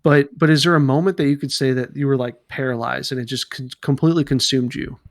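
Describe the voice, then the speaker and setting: Gravelly-voiced man in his thirties chatting. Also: medium pitch, fairly even intonation, fast talker, dry recording, clean studio sound. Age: 30s. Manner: rambling, many fillers and restarts. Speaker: podcast co-host. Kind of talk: casual podcast chat.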